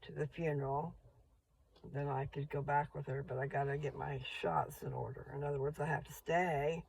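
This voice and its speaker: An older woman with a rough, gravelly voice, rambling slowly and chattily as she vlogs from her parked car. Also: sits low, fairly even intonation, a little mellow, slight background noise, poor audio. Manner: meandering, slow, chatty. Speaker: vlogger. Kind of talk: rambling casual vlog.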